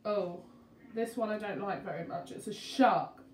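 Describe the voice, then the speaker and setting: A woman reading a picture book to young children in an expressive, interactive way, speaking to them directly. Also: medium pitch, a little slow. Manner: expressive, questioning, addresses young listeners. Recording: roomy, distant mic, faint noise.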